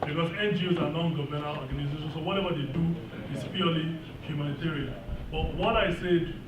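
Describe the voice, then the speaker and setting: A man's voice: a little high, fast. Distant man in a very echoey room. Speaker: panel discussant. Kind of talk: panel discussion remarks. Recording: very echoey, far from mic, loud noise.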